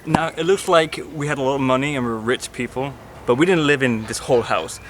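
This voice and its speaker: A man's voice: mid-range voice, brisk, noisy background. A man from Corfu, Greece, presenting a casual personal vlog in an informal storytelling way. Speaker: vlogger and presenter. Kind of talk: casual to-camera personal narration.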